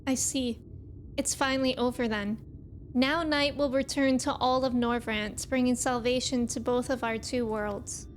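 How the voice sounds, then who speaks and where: A woman's voice: fairly high pitch, steady tone, measured pace, a little hiss or hum. A woman chatting casually and playfully as she livestreams a video game, reacting and greeting viewers.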